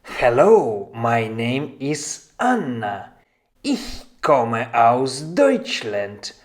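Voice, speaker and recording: A man giving a scripted language lesson as a teacher, deliberately repeating short example phrases in an instructional way. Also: medium pitch, very animated.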